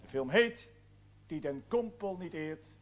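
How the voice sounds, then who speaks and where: An actor playing a jovial, formal union man hosting a village film evening in a television drama, with a pompous, halting manner. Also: a little high, lively intonation. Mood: formal, genial. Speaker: master of ceremonies. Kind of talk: ceremonial public address in a television drama. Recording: loud music behind, 1970s television soundtrack.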